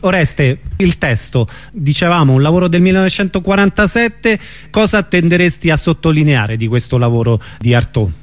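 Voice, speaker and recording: A man hosting a radio interview, introducing things in an informal, slightly halting way. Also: fairly high pitch, animated.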